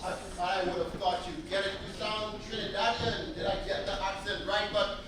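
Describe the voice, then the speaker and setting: A man's voice: high-pitched, steady tone, normal speed, lightly acted. An actor on a live stage playing a fussy, formal young man who lectures a newcomer at length. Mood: lecturing. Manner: formal, overly polite and long-winded. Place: large theatre stage. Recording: echoey hall picked up from far off, noisy.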